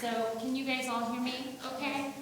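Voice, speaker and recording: A woman counselor giving a casual lecture to a live audience, with a loud voice, chatty and full of fillers. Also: high-pitched, little pitch movement, moderate pace, long reverb tail, a loud crowd, very noisy. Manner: chatty, with many fillers and restarts. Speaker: presenter and counselor. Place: large conference room.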